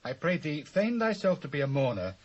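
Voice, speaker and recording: A British actor playing an urgent royal courtier in a dramatised Bible, speaking formal archaic English. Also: male voice, on the high side, big pitch swings, brisk. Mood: urging, instructing. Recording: dull old cassette transfer.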